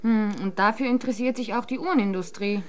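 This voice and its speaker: An actress playing a curious, quick-witted woman in a German radio detective drama, questioning with playful skepticism. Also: on the high side, lightly acted. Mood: excited, teasing.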